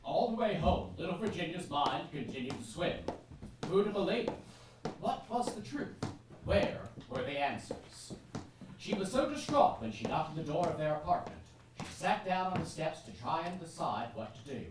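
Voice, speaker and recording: Woman, low voice, swooping intonation, dramatic storytelling narration, echoey theatre, noisy distant recording. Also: big pitch swings, moderate pace. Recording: echoey theatre, far mic, clear noise.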